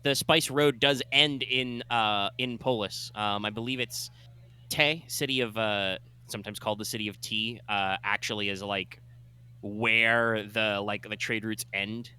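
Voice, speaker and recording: A man running a tabletop game explains the world's lore in a bright, clear voice. He talks casually, with many fillers and restarts. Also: fairly low pitch, steady tone, a little slow. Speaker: game master.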